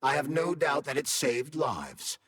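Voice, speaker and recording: Game AI assistant, courteous, formal, lively pitch movement, rough-edged voice, radio-like processing. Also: male voice, full character voice. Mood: calm, formal. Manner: formal, measured, polite. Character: polite, calm male AI companion built into a glove. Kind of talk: scripted video game dialogue.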